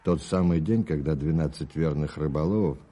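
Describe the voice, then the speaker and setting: Super deep dark-voiced guy in a radio play, confident, amused, relaxed. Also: normal speed, lo-fi sound. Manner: amused, assured.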